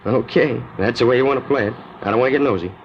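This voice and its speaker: A man's voice: mid-range voice, rapid-fire, natural voice. A chatty, nosy cabbie acted by a man rattles along in folksy working-class talk with a mid-range voice. The sound is a dull, noisy old radio drama recording from the 1950s. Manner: colloquial, folksy, inquisitive. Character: chatty, nosy working-class male city cab driver.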